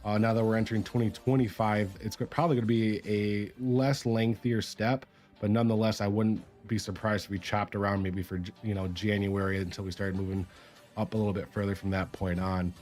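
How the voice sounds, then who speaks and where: Raspy-voiced man hosting a livestream. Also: lowish voice, brisk. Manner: relaxed, chatty, filler-heavy talk to viewers. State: raspy, getting over a cold. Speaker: crypto chart livestream host. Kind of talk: casual livestream chart analysis. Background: faint music.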